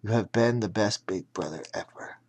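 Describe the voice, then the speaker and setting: Man acting a hushed, dying big brother. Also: on the low side, moderate pace, no room sound, close to an everyday voice. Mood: tender, fading. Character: dying big brother boy, tender last words.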